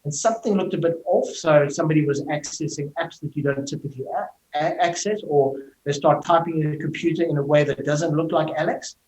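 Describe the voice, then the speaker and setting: Man, South African accent, middle-aged, slightly high voice, fluent explainer, interview guest, roomy echo. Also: a little fast, few pauses, a little mellow, a little hiss or hum. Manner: explanatory, fluent, uses fillers like "you know". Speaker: tech company executive, guest. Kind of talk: interview answers.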